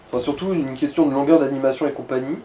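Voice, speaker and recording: A man with a mid-pitched voice chats casually as a gaming co-commentator. He explains and advises in crude slang, over faint background noise. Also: fairly even intonation, very fast, dark tone.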